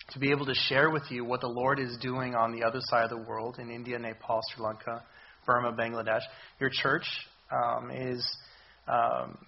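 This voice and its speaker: A hoarse man preaches in a church, pausing and restarting often as he speaks. His voice sits at an ordinary middle pitch. The recording is dull and lo-fi, with faint hiss behind him. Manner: halting, many ums and restarts. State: hoarse, recovering voice. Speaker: visiting missions speaker. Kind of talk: church sermon.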